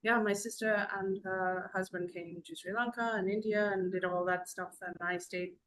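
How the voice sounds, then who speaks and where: A woman's voice: medium pitch, steady tone, fairly bright tone, rough recording. Chatty woman from Zambia, rambling storyteller. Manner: rambling, conversational storytelling with many pauses. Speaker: podcast guest. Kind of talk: casual podcast conversation.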